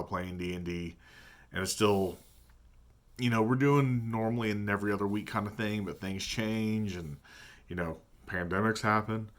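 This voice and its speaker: A man with a deep voice talking casually in a vlog about his tabletop gaming, thinking aloud and hesitating as he goes. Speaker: tabletop game player. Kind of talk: casual reflective vlog talk.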